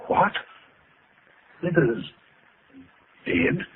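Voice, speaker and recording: An actor in an old radio drama playing a nervous, blustering gentleman who stammers and gets flustered. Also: fairly high pitch, lively intonation, extremely slow, creaky, exaggerated voice. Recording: noisy, tinny old radio recording.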